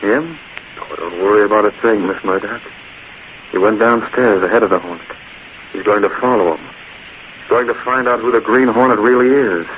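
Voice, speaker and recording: A man's voice: on the low side, brisk, narrow, tinny audio, lightly acted. An actor playing a cocky, menacing male gangster who talks in clipped, hard-boiled slang, in 1940s radio acting. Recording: thin hissy 1940s radio broadcast.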